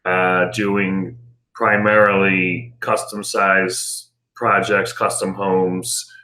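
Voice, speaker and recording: A man's voice: low-pitched, level tone, extremely slow, pushed and bright. A middle-aged American man giving conversational answers as an interview guest and business owner.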